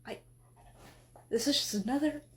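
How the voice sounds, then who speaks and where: Girl voicing a fed-up goddess wife, high-ish voice, scolding then tired. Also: steady tone, normal speed, close to an everyday voice. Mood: frustrated. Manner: scolding, then pleading and tearful. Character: exasperated, emotional goddess wife.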